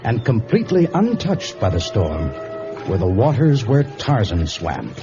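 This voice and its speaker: Animated male radio narrator over loud music. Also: fairly low pitch, measured pace. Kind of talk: dramatic scripted radio serial narration. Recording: dull vintage radio recording. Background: loud dramatic music.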